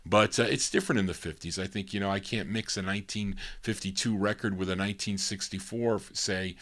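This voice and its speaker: Low-voiced man hosting an oldies show. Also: a little fast, fairly bright tone. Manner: rambling, self-mocking, many restarts. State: losing his voice, dry throat. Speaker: radio show host. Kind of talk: casual on-air chat, sign-off.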